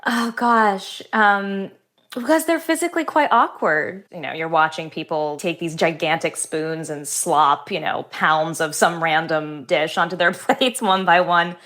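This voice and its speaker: A woman novelist talking in an interview, thoughtful, articulate and measured. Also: on the low side, a bit bright. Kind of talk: book reading and conversational interview answers.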